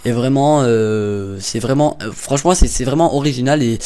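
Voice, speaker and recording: A man's voice: mid-range voice, moderate pace, dark tone. A young man casually commenting over gameplay, rambling and hesitant with lots of fillers and little jokes. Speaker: gaming YouTuber.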